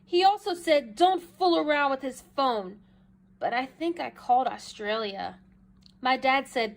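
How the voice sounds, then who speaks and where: A woman reading a children's story aloud with dramatic, emphatic expression and drawn-out phrases. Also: high voice. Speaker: storybook reader. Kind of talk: expressive children's read-aloud in a child narrator's first person.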